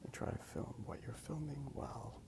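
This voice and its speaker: A man rambling softly and hesitantly in a hushed ASMR style, recorded outdoors on a handheld phone. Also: mid-range voice, expressive, normal speed, vocal fry, noisy background. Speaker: host of a drawing ASMR video. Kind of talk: soft-spoken ASMR rambling. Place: outdoors in a park.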